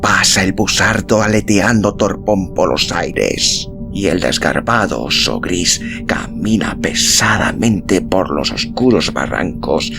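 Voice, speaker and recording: A man reading a literary story as an audiobook narrator, in a measured, solemn, dramatic style with deliberate pauses. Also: sits low, lively intonation, creaky. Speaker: audiobook narrator and podcast host. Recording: music behind the voice. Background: background music.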